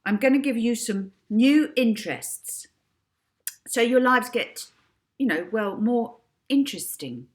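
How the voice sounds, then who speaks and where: A woman performs a confident young girl from a children's storybook, cheerful and bossy. The voice is lifted and lively, with expressive swings as she gives out her orders. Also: on the high side, measured pace, voice pushed up. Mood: confident, improvising.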